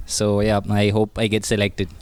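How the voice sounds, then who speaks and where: A man's voice: on the low side, completely flat delivery, normal speed, a little mellow, clear, resonant tone, dry recording. A young man from India, a contestant in a comedy audition sketch, talking hesitantly with fillers.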